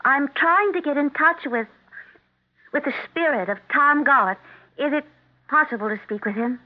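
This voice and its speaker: A woman's voice: high voice, moderate pace, lightly acted. An actress playing a nervous, hesitant, easily frightened woman in a 1970s radio drama. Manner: hesitant, anxious. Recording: muffled, narrow-band 1970s radio broadcast.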